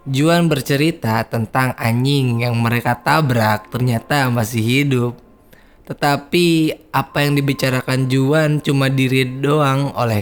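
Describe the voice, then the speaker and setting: A man, hosting a casual, slangy horror film recap for his viewers. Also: medium pitch. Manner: slangy, chatty, addresses viewers as guys. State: hoarse. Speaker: film review host.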